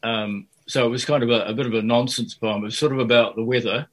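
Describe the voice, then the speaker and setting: A man's voice: mid-range voice, fairly even intonation, quick pace. An older man from Fiji and New Zealand, a poet answering in a radio interview, talking hesitantly with many fillers and restarts.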